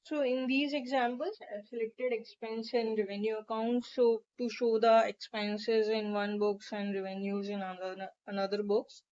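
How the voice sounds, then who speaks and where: A woman narrating a step-by-step software tutorial in an instructional, explanatory way. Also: a little high, flat delivery, moderate pace, hardly any pauses, slight background noise. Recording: dull, lo-fi microphone sound.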